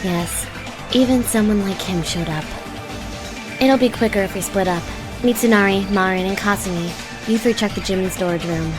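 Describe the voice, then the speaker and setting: A brusque student leader played by a woman with a mid-pitched voice, giving curt orders in an audio drama. Music plays clearly behind. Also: moderate pace, lightly acted. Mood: commanding. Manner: curt, commanding. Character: brusque, take-charge teenage student.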